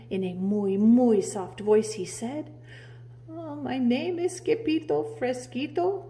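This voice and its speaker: Female storybook narrator, preschool-teacher style: slow pace, expressive, stretches important words for drama. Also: mid-range voice. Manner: dramatic, drawing out key words. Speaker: preschool teacher reading aloud.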